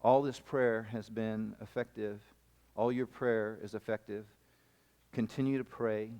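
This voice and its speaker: A man speaking as a missionary at a camp gathering, telling a long personal story in a rambling, animated way with many restarts. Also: lowish voice, a little slow. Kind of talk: storytelling sermon. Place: camp meeting hall.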